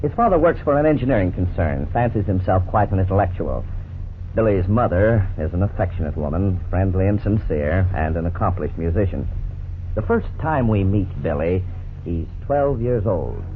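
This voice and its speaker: An actor playing an earnest, authoritative family lawyer who explains things courteously in a 1950s radio drama. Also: male voice, low voice, animated. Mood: earnest, instructive. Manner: measured, didactic, courteous. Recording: scratchy, tinny 1950s radio recording.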